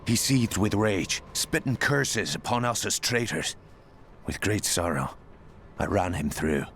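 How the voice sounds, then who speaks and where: A man's voice: fairly low pitch, animated, unhurried, a bit bright, a little hiss or hum. An actor playing a doomed male knight, solemn and sorrowful, narrating his tragic journal in a fantasy game. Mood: grave, anguished. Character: anguished doomed knight recounting his mad king's curse.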